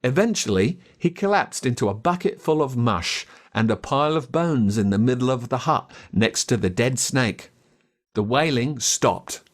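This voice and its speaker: An Australian man narrating a children's story in a dramatic, expressive way. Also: mid-range voice, normal speed. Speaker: audiobook narrator.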